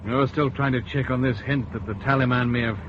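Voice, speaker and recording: An actor playing a dry, sardonic police chief inspector in an old radio drama. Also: male voice, medium pitch, fairly even intonation, brisk, close to an everyday voice. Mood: curt. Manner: curt, dry, understated. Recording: dull old radio broadcast with faint noise.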